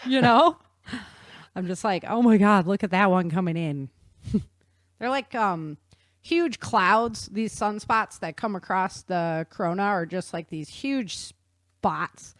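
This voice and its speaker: A woman's voice: mid-range voice, slow, dry recording, studio quality. A woman presenting an informal explanatory science talk in a casual, conversational, slangy way. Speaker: author and presenter.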